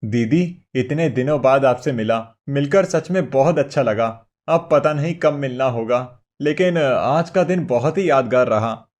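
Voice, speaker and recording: A man's voice: mid-range voice, unhurried, few pauses, darkish tone, close to an everyday voice. A man as an earnest, devoted younger brother. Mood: wistful. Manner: earnest, respectful. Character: gentle, devoted younger brother visiting his sister. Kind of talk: moral cartoon story acting.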